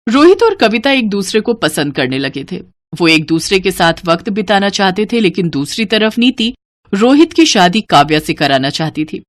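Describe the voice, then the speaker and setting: A woman's voice: mid-range voice, big pitch swings, normal speed. A woman reading scripted narration for a cartoon moral story as the storyteller.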